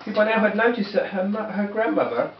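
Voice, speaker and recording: Animated woman narrating a puppet show. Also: mid-range voice, fast talker, distant mic. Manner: animated, stumbling over words. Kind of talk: amateur storytelling to children at home. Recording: dull, noisy, echoey home-video from afar.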